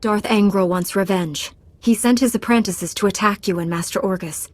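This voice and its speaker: An actress playing a resolute, merciful Jedi Knight in a video game, speaking in an earnest, composed way. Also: female voice, mid-range voice, normal speed, dry recording, lightly acted. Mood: determined. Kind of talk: video game dialogue acting.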